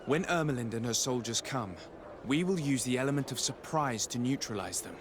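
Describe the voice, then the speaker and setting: A man playing a composed, courteous noble envoy in a video game, speaking formally, politely and in a measured way. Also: medium pitch, lively intonation, noisy background, natural voice. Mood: resolute. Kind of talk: video game cutscene acting.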